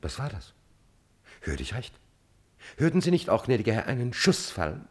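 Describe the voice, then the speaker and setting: An actor playing a cunning, servile and coldly ambitious chamberlain in a classical drama, with an insinuating, theatrical delivery and a slightly husky voice. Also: male voice, medium pitch, very animated, normal speed. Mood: excited, boastful. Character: scheming male court chamberlain, servile, cunning, cold-bloodedly ambitious. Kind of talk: German classical stage drama acting.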